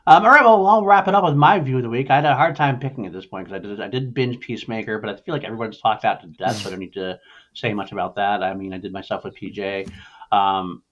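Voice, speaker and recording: A man hosting a casual panel podcast, talking in a halting way and repeating words as he thinks. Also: lowish voice, a bit bright, dull audio. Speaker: podcast host.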